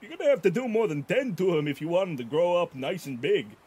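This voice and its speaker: A man plays a big joker of a cartoon dog, full of teasing patter. The voice is husky and pitched high, bouncing around with animated swings. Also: fast. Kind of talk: cartoon character voice acting.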